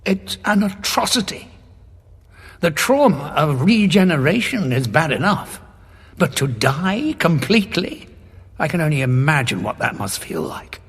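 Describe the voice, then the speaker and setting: An actor playing an indignant, morally troubled war veteran with a sardonic, emphatic manner in an audio drama. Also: male voice, fairly high pitch, sing-song, measured pace, fairly bright tone, full character voice. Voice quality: husky, gravelly. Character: indignant, morally troubled war-weary Doctor, adult male.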